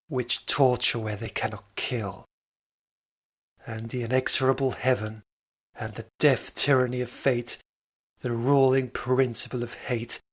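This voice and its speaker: Slow, slightly husky man reciting poetry. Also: medium pitch, fairly bright tone. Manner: measured, solemn, deliberate phrasing. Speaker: poetry reader.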